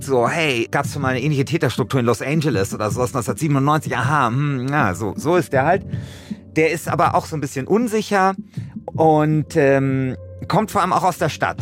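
Animated man casually pitching a story. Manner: colloquial, blunt. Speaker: co-host pitching a crime audio drama story.

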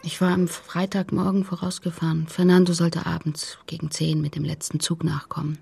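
An actress playing a grieving, guarded middle-aged mother in a radio play, very husky, breathy and creaky, speaking haltingly. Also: sits low, little pitch movement, darkish tone, heavily acted. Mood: subdued, halting. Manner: halting, hesitant.

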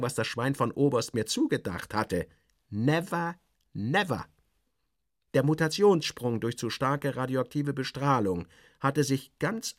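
A man's voice: mid-range voice, no echo, studio quality. A man performing dramatic first-person literary narration in character, wry and theatrical, in clipped phrases.

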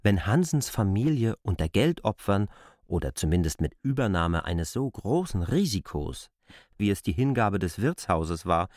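A man's voice: on the low side, darkish tone, dry recording, clean studio sound. A man playing a shrewd, persistent newcomer in a literary radio drama, probing in formal, argumentative speech. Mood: skeptical. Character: persistent, shrewd male newcomer questioning a landlady.

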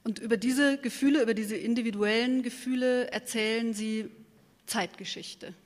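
A woman's voice: a little high, fairly even intonation, normal speed. A woman, moderating a live interview and feeling her way carefully into her questions. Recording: reverberant hall.

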